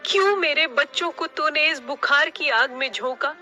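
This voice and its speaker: A woman's voice: high voice, lively intonation, normal speed, bright, forceful tone, soft background music, exaggerated voice. A woman voicing an anguished, defiant mother bird in a cartoon story, with impassioned, dramatic delivery. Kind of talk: Hindi cartoon story acting.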